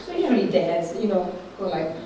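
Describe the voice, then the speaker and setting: Echoey middle-aged Italian-accented sister giving a talk. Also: female voice, lowish voice, sing-song, brisk, dark tone. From Northern Italy. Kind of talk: informal talk to a group. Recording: very echoey room, distant mic, faint noise.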